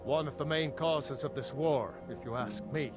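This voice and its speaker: A man's voice: a little high, normal speed, over music, close to an everyday voice. A man playing a middle-aged village blacksmith in a fantasy game, earnest, opinionated and loyal to his empire. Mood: reflective. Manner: earnest, opinionated, matter-of-fact. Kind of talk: fantasy video game dialogue.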